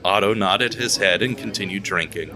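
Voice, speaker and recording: A man narrating a scripted audio drama in a steady storytelling manner, over background music. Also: fairly low pitch, normal speed, a crowd in the background.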